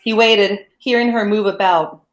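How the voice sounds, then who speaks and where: Woman narrator, steady measured reading, mid pitch, roomy echo. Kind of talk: plain read-aloud of a novel.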